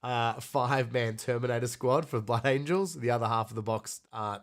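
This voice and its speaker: A man's voice: medium pitch, moderate pace. A man with a blocked-up, snuffly nose co-hosts a casual podcast. His voice sits mid-range, and he chats easily, reading out viewer questions and laughing now and then. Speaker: podcast co-host.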